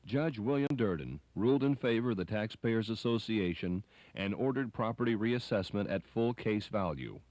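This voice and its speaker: A man with a fairly low voice delivers scripted documentary narration. The recording is dry and close, with hardly any room sound around his words. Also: expressive, moderate pace, a little mellow, no room sound.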